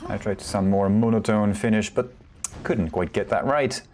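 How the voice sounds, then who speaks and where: A man casting a competitive video game match solo, chatty and relaxed, often correcting himself. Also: fairly low pitch, normal speed, slight background noise. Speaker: esports caster. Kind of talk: solo play-by-play commentary.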